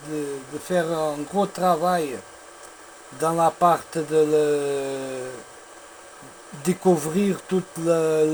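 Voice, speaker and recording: A man with a Portuguese accent, not speaking his native language, chatting as a radio operator in a halting way, searching for words. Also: a little high, darkish tone, slight background noise, poor audio. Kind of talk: radio contact chat, technical talk.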